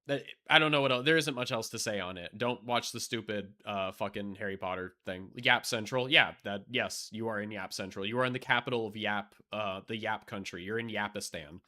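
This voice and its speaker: Bright-voiced man streamer, voice wearing thin. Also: on the low side, fairly quick, hardly any pauses. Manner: rambling, many fillers and restarts; blunt and profane. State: losing his voice. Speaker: live streamer. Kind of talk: casual live-stream chat.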